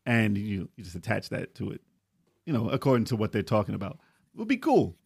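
A man with a husky edge to his voice hosts a casual podcast. His pitch moves around a lot as he chats. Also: big pitch swings, fairly quick, a little mellow. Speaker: podcast host.

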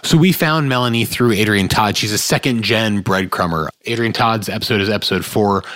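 A man's voice: fairly low pitch, brisk, a bit bright. Earnest man hosting a podcast intro. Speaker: podcast host. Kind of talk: scripted show introduction.

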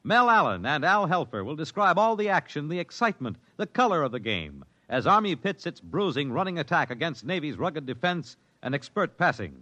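A man's voice: on the high side, sing-song, fairly quick, hardly any pauses, pushed and bright. An American man announcing in dramatic 1950s radio style, emphatic and declamatory.

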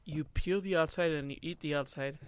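A young man with a slightly high voice, chatting casually on a podcast in a dry, close recording. He jokes around, laughs easily and often repeats his co-host's words back. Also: a little fast, smooth, dry recording. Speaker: podcast co-host. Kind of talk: casual chat.

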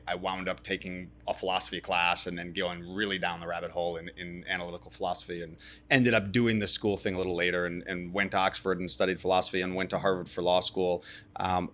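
Man, very low voice, interview guest, thoughtful, halting restarts. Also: fairly bright tone. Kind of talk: casual interview.

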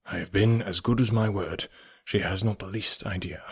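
A reader voicing a genial middle-aged gentleman in an audiobook, brisk and reassuring. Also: medium pitch, expressive, husky, dry recording, clean studio sound. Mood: hushed. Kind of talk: audiobook character voice.

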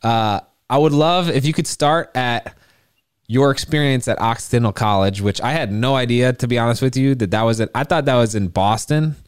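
A young man hosting an interview podcast, introducing his guest and asking friendly, measured questions. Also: mid-range voice, smooth, dry recording, studio quality. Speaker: podcast host.

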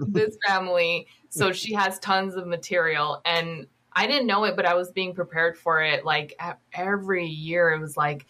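A woman's voice: fairly low pitch, steady tone, normal speed, few pauses, sharp tone. A woman originally from Kashmir who moved to the US as a child, chatting casually and jokily as a comedy guest. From born in Kashmir, moved to the US at seven. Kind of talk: casual comic interview chat.

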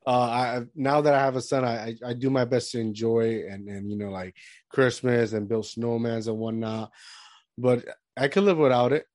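A man in his thirties who grew up in Puerto Rico talks in a loose, rambling way, stopping and restarting his sentences with lots of fillers. His voice sits at an ordinary pitch. It is recorded close and dry, with no room sound. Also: steady tone, normal speed, a little mellow, no echo. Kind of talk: casual chat.

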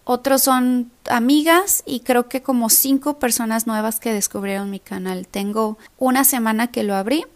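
A chatty young woman with a Mexican accent talks through her solo nutrition podcast, her voice sitting a little high. She drifts between points with plenty of fillers and pokes fun at herself. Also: fairly even intonation, normal speed, few pauses. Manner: chatty, digressive, self-deprecating, with frequent fillers. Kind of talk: casual solo podcast talk.

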